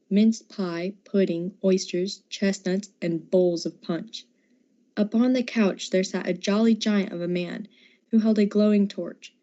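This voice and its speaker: A woman's voice: medium pitch, warm tone, close to an everyday voice. A woman reading scripted narration as the storyteller of an amateur radio drama. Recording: dull lo-fi amateur recording.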